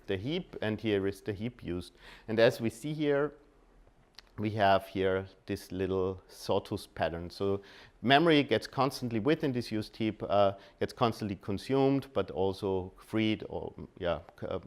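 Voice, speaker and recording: A man with a fairly low voice and an Austrian accent gives a technical presentation. He is a native German speaker. His delivery is informal and halting, and he often rephrases mid-sentence. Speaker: conference speaker.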